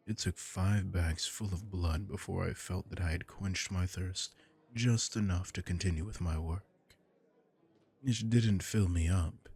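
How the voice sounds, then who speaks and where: A man voicing a suave aristocratic male vampire lord in an ASMR roleplay, smooth and teasing. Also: deep voice, a little mellow, exaggerated voice.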